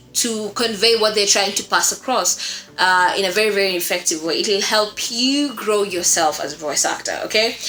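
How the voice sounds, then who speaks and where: Bright-voiced woman coaching, echoey room. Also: mid-range voice. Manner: encouraging, emphatic, often repeating phrases and checking in with "okay?". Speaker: voice-acting coach presenting a tutorial. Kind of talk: informal instructional talk to viewers. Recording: roomy echo with music behind. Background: music.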